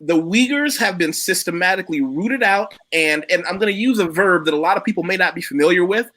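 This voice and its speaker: A man's voice: high voice, brisk, bright, forceful tone. A man hosting a casual live chat, speaking in a blunt, opinionated storytelling manner.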